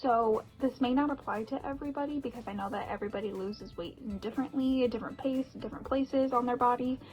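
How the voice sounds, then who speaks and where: A woman's voice: a little high, quick pace, highs cut off, soft background music. A young woman talking casually to her viewers in a personal vlog, chatty, with frequent laughs and "um" fillers. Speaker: vlogger.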